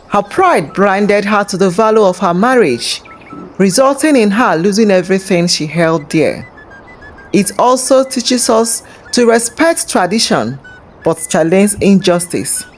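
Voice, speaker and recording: A woman narrating a scripted folktale as host, in a measured, dramatic storytelling manner. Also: medium pitch, slight background noise.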